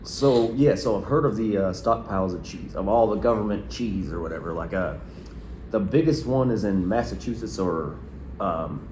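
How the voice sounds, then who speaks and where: Low-voiced man in his thirties, rambling. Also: moderate pace, darkish tone, reverberant, slight background noise. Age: early 30s. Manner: rambling, many fillers and restarts. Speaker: podcast co-host. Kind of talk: casual chat.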